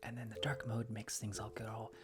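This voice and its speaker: A woman hosting an ASMR live stream in a breathy whisper, chatting in a playful, whimsical, mock-dramatic way. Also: very deep voice, level tone, brisk, heavy background noise. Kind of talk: ASMR chat with live audience. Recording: loud music under the voice. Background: music.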